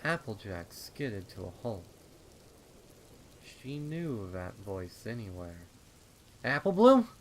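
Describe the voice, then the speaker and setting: A man narrating a dark horror story in a dramatic, ominous style with deliberate phrasing. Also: fairly low pitch, animated, slight background noise, rough recording. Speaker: fan fiction narrator. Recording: rain and storm ambience behind. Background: rain.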